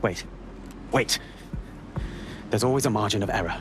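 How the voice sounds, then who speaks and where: A low-pitched game spy, acted by a man, secretive and worn down. He picks his words with halting care. Loud music plays beneath him. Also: close to an everyday voice. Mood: weary, anxious. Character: weary, secretive male intelligence agent with a scheming streak. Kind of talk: video game cutscene acting.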